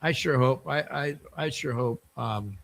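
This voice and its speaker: A man's voice: medium pitch, measured pace, creaky, fairly bright tone, slight background noise. An older man co-hosting a casual sports chat, hesitant and repeating words as an enthusiastic fan. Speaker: podcast co-host.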